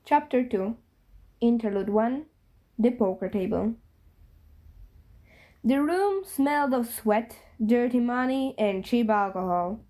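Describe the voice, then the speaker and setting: A woman's voice: on the high side, lively intonation, takes it slowly, pauses often, dark tone. A woman reading a story aloud as a scripted narration. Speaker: fan fiction reader.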